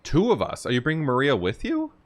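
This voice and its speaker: A man's voice: fairly high pitch, wildly swooping pitch, fast. A man hosting a gaming reaction, commenting casually in an informal, questioning way.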